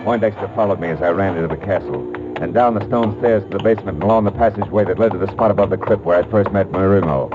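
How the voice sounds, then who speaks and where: An actor playing a tough, wisecracking private detective with an American accent in a 1940s radio noir, hard-boiled and wry. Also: male voice, sits low, rapid-fire, narrow, tinny audio, loud music behind the voice, natural voice. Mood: wry, tense narration.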